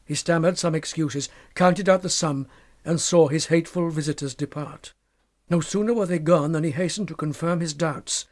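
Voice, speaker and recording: A man narrating a literary tale as an audiobook, dramatic, measured and formal in his delivery. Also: on the high side.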